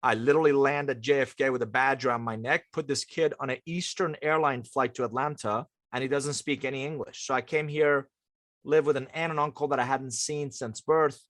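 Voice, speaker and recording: A man's voice: mid-range voice, steady tone, brisk, a bit bright, no room sound. A man in his fifties from Iran, a native Persian speaker, talking conversationally and emphatically as an interview guest who coaches leaders. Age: 50s.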